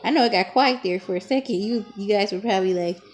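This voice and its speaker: A young woman talking casually through a hair-braiding tutorial at home, chatty and self-teasing, laughing often, recorded on a camera propped low in front of her. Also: quick pace. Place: small dim room at home. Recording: echoey room, faint noise.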